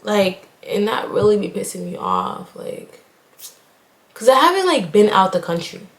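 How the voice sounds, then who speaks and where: A woman's voice: medium pitch, very animated, measured pace. A young woman from Haiti, a nursing student, chatting casually while getting ready and rambling with lots of "like". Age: young adult. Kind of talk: casual get-ready-with-me chat. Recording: echoey room.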